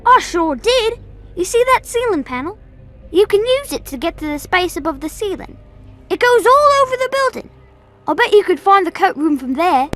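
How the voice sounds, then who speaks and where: A woman's voice: very high voice, sing-song, moderate pace, fairly bright tone, full character voice. A woman voicing an eager, loyal little boy with an earnest manner in a video game. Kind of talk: video game cutscene acting.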